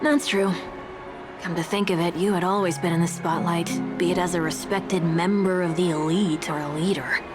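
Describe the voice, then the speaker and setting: An actress playing a blunt, teasing young travelling companion in a video game, with a casual, sarcastic way of talking. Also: female voice, fairly low pitch, steady tone, moderate pace, lightly acted. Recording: game music behind the voice.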